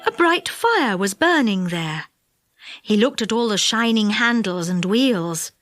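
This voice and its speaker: A woman narrating a children's storybook in an expressive, animated way. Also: medium pitch, measured pace, dry recording, studio quality, faint music behind. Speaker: audiobook narrator.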